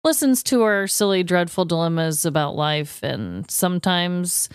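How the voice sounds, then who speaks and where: A woman's voice: lowish voice, expressive, measured pace, clean tone, no room sound, studio quality. A woman co-hosting a podcast in casual, conversational talk. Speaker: podcast co-host.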